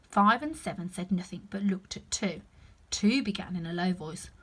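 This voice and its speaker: A woman's voice: on the low side, lively intonation, normal speed, fairly bright tone, breathy edge. A woman reading a children's story aloud expressively, with chatty asides to the listener.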